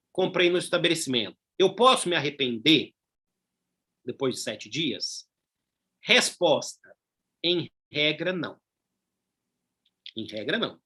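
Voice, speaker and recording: A man's voice: fairly high pitch, a bit bright, husky. A middle-aged man with a Brazilian Portuguese accent giving a conversational law lecture, full of tag questions and asides. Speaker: law professor.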